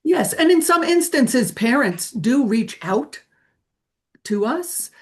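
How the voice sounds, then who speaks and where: A woman presenting in a webinar on a video call. She sets out procedures in a steady, patient, informative way and answers questions as she goes. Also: sits high, slow. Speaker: language testing presenter. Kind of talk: webinar explanation.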